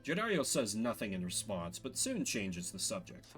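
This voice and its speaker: A man streaming a video game, switching between casual commentary and expressive reading of the story text, playful in manner. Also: lowish voice, moderate pace. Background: game music.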